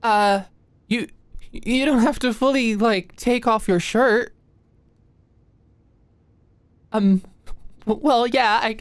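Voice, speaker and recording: A woman's voice: fairly high pitch, dry recording. A young woman playing an anxious, awkward female medical student in an intimate ASMR roleplay, speaking hesitantly and attentively. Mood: flustered, embarrassed. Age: young adult. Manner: nervous, hesitant, stammering, softly attentive. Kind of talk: ASMR roleplay, intimate scripted one-sided dialogue. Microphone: close studio-style mic, dry.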